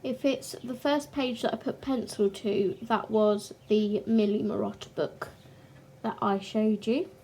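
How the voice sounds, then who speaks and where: A young woman hosting a colouring channel, chatting casually to camera in a croaky, short-of-breath voice, pausing now and then to clear her throat. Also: mid-range voice, steady tone, dark tone, a little hiss or hum.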